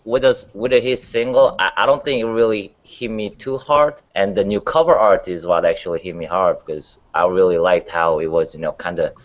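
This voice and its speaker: A man chatting casually as a panelist, with halting phrasing and loose grammar. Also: sits low. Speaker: talk show panelist. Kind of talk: casual chat. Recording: dull lo-fi sound.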